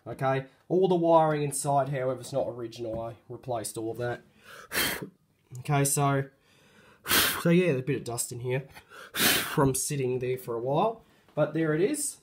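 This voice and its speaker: A man with a hoarse voice from a cold, casually showing off a light fitting he refurbished, talking hesitantly with many ums and restarts, recorded on a handheld phone indoors. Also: medium pitch, animated, moderate pace, long pauses. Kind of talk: casual show-and-tell narration.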